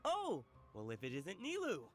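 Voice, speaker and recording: A jovial, chatty food vendor. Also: male voice, high voice, huge pitch swings, moderate pace, fairly bright tone, faint music behind, full character voice. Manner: jovial, teasing. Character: cheerful middle-aged male food vendor, jovial and chatty. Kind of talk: game cutscene voice acting.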